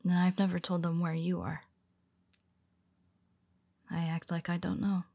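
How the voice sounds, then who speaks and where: A woman voicing a young female superhero in an audio roleplay, speaking hesitantly in a husky half-whisper with many restarts. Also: on the low side, little pitch movement, measured pace, mellow, dry recording. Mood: tender, confessional.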